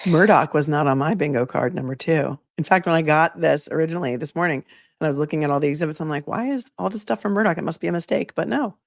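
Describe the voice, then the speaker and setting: A hoarse woman with a lowish voice reads a legal brief aloud in a measured, emphatic way. She is an attorney who hosts a channel. The sound is dry and close. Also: no room sound. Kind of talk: reading a legal brief aloud, then casual chat.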